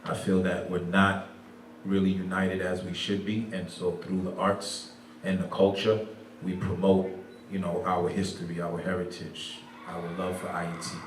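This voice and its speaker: A man's voice: deep voice, flat delivery, unhurried. A man, a teacher and nonprofit program director, answering panel questions in a thoughtful way and slipping in Creole and French phrases. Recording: echoey room from far off, faint background noise.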